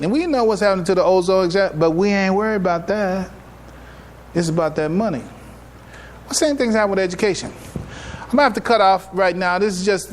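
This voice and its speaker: An American man giving an informal religious lecture to a congregation as an imam, conversational and folksy, often checking in with rhetorical questions, recorded live in a noisy room. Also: sits high. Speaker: imam giving a public address and taking questions. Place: live gathering at a mosque, speaking to attendees.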